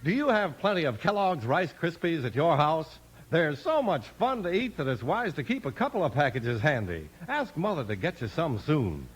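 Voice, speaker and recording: A man's voice: a little high, husky. A man announcing and narrating in scripted 1950s radio style, enthusiastic and emphatic. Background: music.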